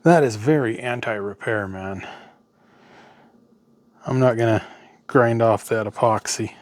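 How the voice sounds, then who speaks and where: A man's voice: mid-range voice, unhurried, a little mellow, no echo. An American man giving casual, unscripted commentary as he works at a bench, muttering to himself in short, trailing remarks. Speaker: host of an electronics teardown video. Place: indoors at a workbench. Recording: clearly noisy home recording, sometimes off-mic.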